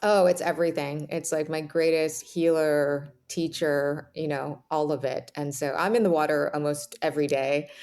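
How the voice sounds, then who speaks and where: Low-voiced woman, reflective interview guest. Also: fairly even intonation, normal speed, few pauses, a little mellow. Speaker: podcast guest, author.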